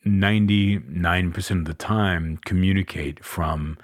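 Deep-voiced man musing calmly. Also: no room sound, studio quality. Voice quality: deep chest voice. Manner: unhurried, musing, many fillers. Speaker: podcast host and coach. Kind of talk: reflective solo podcast talk.

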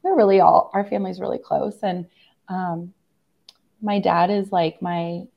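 A young adult woman, hoarse from laryngitis, earnestly recounting a personal family story in a conversation, with many fillers and restarts. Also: lowish voice, level tone, measured pace, highs cut off. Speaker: guest.

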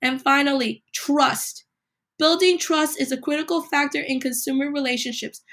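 A woman hosting a solo podcast, a little hoarse from a cold, reading numbered points with emphasis. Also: high-pitched, steady tone, moderate pace, fairly bright tone. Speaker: podcast host.

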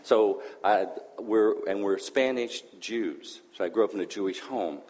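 Greek-born man, deep voice, church testimony, easygoing with pauses, hall reverb. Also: a little mellow, a little hiss or hum. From Greece. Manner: conversational, hesitant fillers. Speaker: church guest sharing testimony. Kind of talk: personal testimony.

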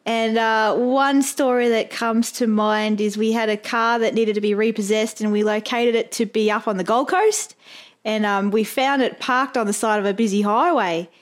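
A woman's voice: fairly high pitch, moderate pace. A woman with an Australian accent, casually telling work anecdotes as a podcast host. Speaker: podcast host, private investigator.

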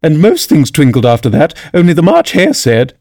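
Man acting a trembling, high, pitiful little fellow. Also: huge pitch swings, normal speed, a little mellow. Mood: anxious, pleading. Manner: trembling, anxious, hesitant. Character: nervous, pitiful hat-seller pleading before royalty. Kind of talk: children's storybook character voice.

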